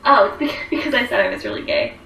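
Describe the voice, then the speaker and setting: A woman's voice: fairly high pitch, racing, gravelly, fairly bright tone, echoey room, recorded from a distance, a little hiss or hum. A woman in her twenties chatting casually on a livestream, rambling with lots of fillers as she answers viewer comments. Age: 20s.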